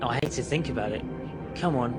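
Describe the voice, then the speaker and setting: A man's voice: medium pitch, brisk, lightly acted. A man playing a cocky, wisecracking young radar cadet with a casual drawl in a science fiction audiobook. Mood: casual, teasing. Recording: music bed behind the voice.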